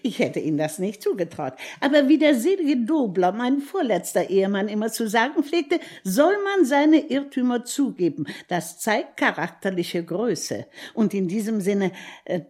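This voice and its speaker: A woman's voice: mid-range voice, very animated, normal speed, a little mellow. An actress playing a chatty, bossy middle-aged landlady in a German radio drama, wordy and condescending. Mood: gushing, patronising.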